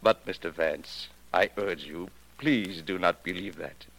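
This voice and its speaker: A man's voice: low voice, unhurried, vocal fry, a bit bright, exaggerated voice, rough recording. An actor playing a proud, taunting craftsman of magic illusions in a 1940s radio detective drama, speaking in a formal, theatrical, boastful way.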